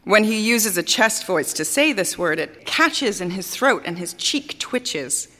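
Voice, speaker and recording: Grand, swooping opera diva. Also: female voice, mid-range voice, expressive, pushed and bright. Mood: adoring, dramatic. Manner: grand, theatrical, deliberate delivery with dramatic pauses. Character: vain, capricious female opera singer, adoring and scornful. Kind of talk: theatrical dramatic monologue before an audience. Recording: reverberant hall stage recording.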